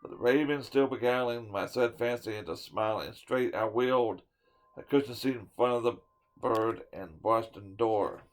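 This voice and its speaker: A man giving a dramatic reading of a poem, swinging from loud declaiming to hushed whispers and sometimes tripping over a word. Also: medium pitch, normal speed, poor audio. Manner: stumbles and restarts words now and then. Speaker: storyteller reading a poem aloud.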